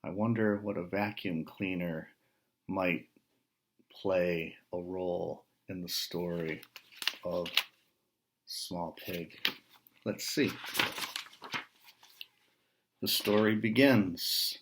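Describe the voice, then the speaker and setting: Slow, low-voiced man reading a storybook. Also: steady tone, noisy background. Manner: unhurried, pausing between short phrases, asking listeners questions. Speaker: writer reading a picture book aloud. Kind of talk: read-aloud storytelling with casual asides to young listeners.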